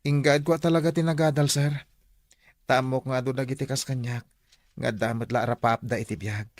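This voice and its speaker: A man's voice: mid-range voice, steady tone, brisk, no room sound, close to an everyday voice. An actor playing an earnest, polite young man about to become a teacher in a radio drama. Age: young adult. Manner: eager, emotional, polite.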